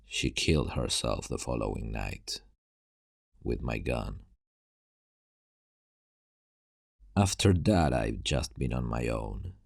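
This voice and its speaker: A man acts out a haunted, worn-out male survivor in a zombie apocalypse audio roleplay. His voice sits extremely low and crackles heavily with fry. He speaks slowly, in a hushed and grave ASMR storytelling style, with halting pauses between phrases. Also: animated, no echo, clean studio sound, heavily acted. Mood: heavy, regretful.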